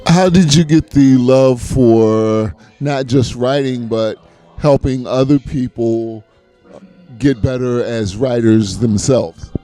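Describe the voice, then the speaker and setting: A man interviewing a guest at an arts gala, asking friendly, brisk questions with fillers. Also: mid-range voice, mellow, soft background music. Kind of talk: event interview.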